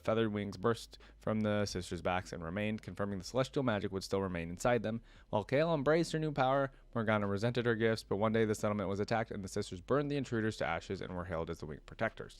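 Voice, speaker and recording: A man's voice: on the low side, no room sound. A man hosting a reaction video, commenting casually and informally, thinking aloud as he browses and reads.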